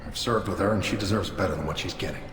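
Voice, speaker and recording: Deep-voiced, clipped alien soldier. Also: male voice, rapid-fire, a bit bright. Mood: earnest, grim. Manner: clipped, terse military phrasing. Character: gruff, loyal, deferential alien soldier, plain-spoken. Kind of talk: video game dialogue acting. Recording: echoey reverberant hall, music behind.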